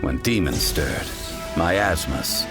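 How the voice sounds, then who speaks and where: A man narrating a game cutscene as a solemn, dramatic storyteller of dark ancient legend, grave and measured. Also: rumbling low, animated, heavily acted. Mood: grave, ominous. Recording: prominent music behind the voice.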